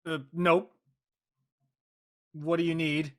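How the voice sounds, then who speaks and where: A man's voice: a little high, level tone, takes it slowly, fairly bright tone. An actor playing an evasive, awkward teenage boy in casual drama dialogue.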